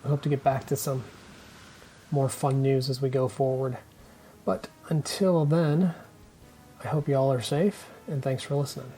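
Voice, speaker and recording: A man's voice: medium pitch, fairly even intonation, moderate pace, long pauses, dark tone, faint music behind. A man hosting a podcast, giving informal commentary. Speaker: podcast host.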